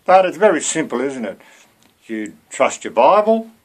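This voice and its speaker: A man's voice: medium pitch, very animated, normal speed. A man in his seventies giving an informal, earnest, conversational sermon to camera as a lay preacher, often turning to rhetorical questions, recorded at home on a computer mic. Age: 70s. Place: small cluttered room at home.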